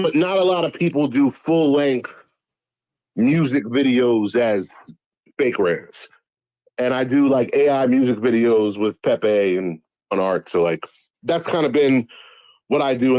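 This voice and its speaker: A man's voice: medium pitch, warm tone, no echo, low-quality recording. A man from Guyana, a guest musician, telling stories in a sarcastic, profane, casual way. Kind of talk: casual chat on a live audio show.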